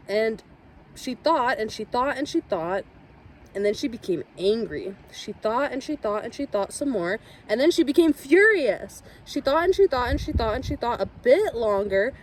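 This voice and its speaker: A woman reading a children's picture book aloud with expressive storytelling. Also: fairly high pitch, slight background noise, rough recording. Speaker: storybook reader.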